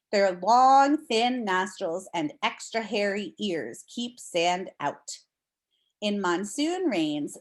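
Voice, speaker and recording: Casting: American woman, teacher doing a children's read-aloud, unhurried delivery with lively intonation, clear explanatory manner. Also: medium pitch. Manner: deliberate, explanatory, addresses young listeners with questions.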